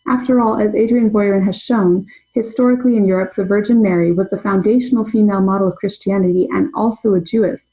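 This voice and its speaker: A young adult woman presenting an academic paper in a measured, scholarly way. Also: mid-range voice, gravelly, mellow, low-quality recording. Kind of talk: reading a prepared conference paper.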